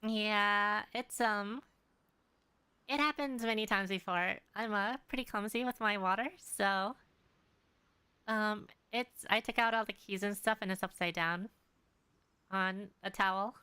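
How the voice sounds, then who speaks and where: A young woman chats casually with her viewers while streaming, pausing often with fillers. Her voice is bright and clear, with close, dry sound. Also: mid-range voice, no echo. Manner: chatty, hesitant, many fillers. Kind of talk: casual live-stream chat. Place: home streaming setup.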